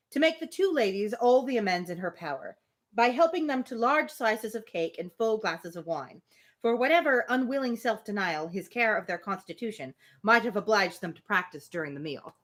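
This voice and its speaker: A woman hosting a live reading of a classic novel, reading expressively with casual, amused asides. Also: medium pitch. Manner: expressive reading, breaks off for informal amused commentary. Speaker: host reading a novel aloud on a livestream.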